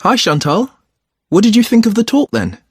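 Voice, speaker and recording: A man's voice: high voice, wildly swooping pitch, normal speed, husky, dry recording, clean studio sound, full character voice. An actor playing an agreeable, realistic young male student in a scripted, conversational listening-test dialogue. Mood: chatty, agreeable. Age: young adult. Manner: conversational, questioning.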